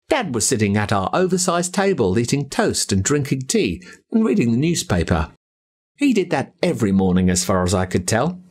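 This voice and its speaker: A man with an Australian accent narrating a spooky children's story in an expressive, suspenseful, first-person storytelling manner. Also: medium pitch, slightly rough. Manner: expressive, suspenseful storytelling as a boy recalling events. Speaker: audiobook narrator.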